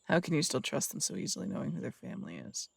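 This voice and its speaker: A man playing a gravelly, distrustful detective. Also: a little high, sing-song, quick pace, dry recording, clean studio sound, exaggerated voice. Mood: accusing. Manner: curt and suspicious, sharp needling questions. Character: blunt, distrustful male city detective. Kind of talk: audio roleplay drama acting.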